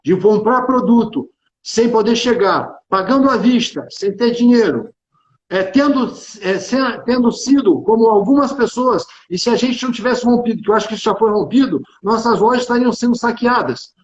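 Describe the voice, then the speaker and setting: A man's voice: extremely high pitch, moderate pace. A man with a Carioca accent from Rio de Janeiro, speaking emphatically and informally on a business livestream, often repeating phrases. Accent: Carioca Brazilian Portuguese. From Rio de Janeiro, Brazil. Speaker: tax consultant and executive speaking on a livestream. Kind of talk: informal live business discussion. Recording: echoey room, dull band-limited livestream audio.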